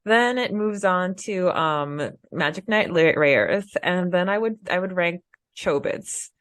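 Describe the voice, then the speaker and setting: A woman's voice: fairly low pitch, expressive, takes it slowly, fairly bright tone, no echo, studio quality. A woman co-hosting a fan podcast, chatting casually and enthusiastically. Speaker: podcast co-host.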